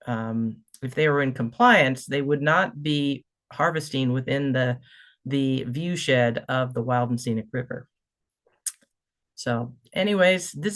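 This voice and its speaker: A man presenting in an online talk, halting and self-correcting as he explains a photo. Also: mid-range voice, a bit bright. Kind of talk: webinar presentation.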